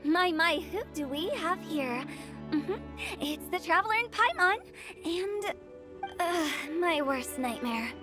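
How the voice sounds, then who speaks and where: A woman's voice: very high-pitched, animated, slow, heavily acted. A woman playing a sly, playful teenage funeral director in a video game, with theatrical, probing delivery. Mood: mischievous. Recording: music behind the voice.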